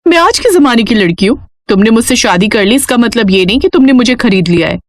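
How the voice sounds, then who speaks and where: A woman's voice: a little high, lively intonation, quick pace, no echo, clean studio sound. A woman voicing a vain, bossy young bride in a moral storybook tale, haughty as she orders her elders around. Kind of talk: Hindi moral storybook character voice.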